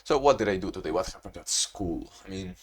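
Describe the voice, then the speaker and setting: A young man talking casually in a video diary, rambling and restarting, in a monotone way. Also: deep voice, fairly quick, slight background noise. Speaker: vlogger.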